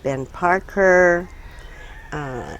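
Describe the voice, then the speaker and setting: An older woman from Hawaii, a retired social worker recalling her life in a relaxed interview, speaking haltingly with many pauses and fillers. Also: lowish voice, creaky, muffled recording, slight background noise. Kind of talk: casual reminiscing conversation.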